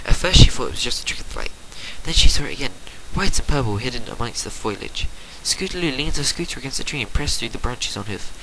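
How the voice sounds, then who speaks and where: A man reads fiction aloud in a mid-pitched voice, narrating theatrically. His delivery is an amateur one with stumbles. The recording has a faint layer of noise and clicks. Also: lively intonation, quick pace, hardly any pauses. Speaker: reader of fan fiction. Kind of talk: dramatic reading of a story.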